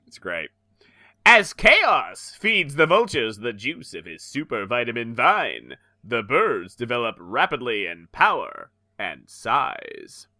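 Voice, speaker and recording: A man narrates a comic with a lively, swinging delivery and often laughs at the text. His voice is bright and forceful. It is recorded dry and close, with no room sound. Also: medium pitch, slow pace, dry recording. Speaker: podcast co-host reading a comic aloud. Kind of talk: comedic dramatic reading with banter.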